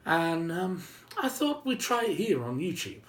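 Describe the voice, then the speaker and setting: A man telling a story informally and whimsically, with dramatic pauses and emphasis. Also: on the high side, sing-song, fairly bright tone. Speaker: storyteller and video host. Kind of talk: informal improvised oral storytelling. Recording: roomy, faint background noise.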